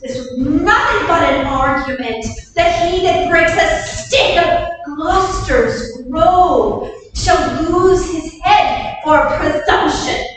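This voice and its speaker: A woman's voice: very high-pitched, slow, a little hiss or hum. A woman playing an ambitious, scheming duchess in a staged Shakespeare reading, delivering the verse in a declamatory, sing-song way. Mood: dreamy. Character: ambitious scheming duchess, coaxing her husband. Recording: echoey hall from far off.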